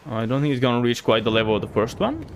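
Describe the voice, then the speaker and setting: A man's voice: medium pitch, sing-song, quick pace, a little hiss or hum. A man from Bulgaria with a light Bulgarian accent, a streamer chatting loosely with viewers and thinking aloud as he plays. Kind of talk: casual gameplay chat with viewers.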